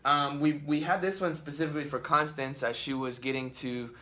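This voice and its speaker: Bright-voiced rambling dad vlogger. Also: male voice, a little high, steady tone, a little fast. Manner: rambling, lots of ums and restarts. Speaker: vlog host, father. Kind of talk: casual talk to camera. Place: at home.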